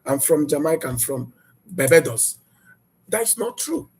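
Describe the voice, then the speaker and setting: Lively, husky-voiced male preacher. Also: a little high, moderate pace. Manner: emphatic, repetitive rhetorical questions. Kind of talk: sermon.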